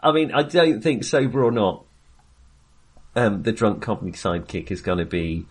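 A man with a fairly low voice hosts a relaxed discussion about films. He feels his way through sentences haltingly and keeps a jokey, understated wryness as he talks. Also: slightly rough. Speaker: film podcast host. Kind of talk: casual film discussion.

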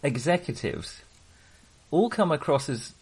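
A man hosting a podcast, talking casually about films in a jokey, wry manner with a husky voice. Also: on the high side, very animated, a little slow. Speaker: podcast host. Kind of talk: casual film discussion.

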